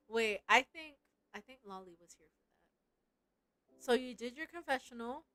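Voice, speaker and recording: A woman's voice: a little high, animated, unhurried, sharp tone, no room sound. A playful, giggly woman streaming a game, chatting casually and reading story text aloud. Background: game music.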